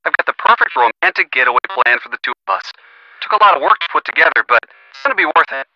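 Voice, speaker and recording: An actor playing an earnest, gently sardonic young American boyfriend in a video game, talking conversationally and expressively with a youthful voice. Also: male voice, fairly high pitch, expressive, fast, dry recording, dull audio. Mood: friendly. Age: young adult. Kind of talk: video game phone-call dialogue.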